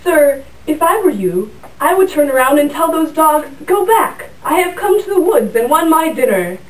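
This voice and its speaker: A woman's voice: sits high, big pitch swings, moderate pace. A young woman voicing a proud, vain male rooster in a class puppet show, with stately old-fashioned phrasing. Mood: sly. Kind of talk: school puppet show acting. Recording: roomy sound from a distant mic.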